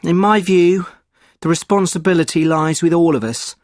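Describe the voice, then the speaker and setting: A man reading sample speaking-test answers in a measured, opinionated way with thoughtful pauses. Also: a little high, darkish tone, dry recording, studio quality. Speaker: sample exam candidate. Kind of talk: scripted exam answer reading.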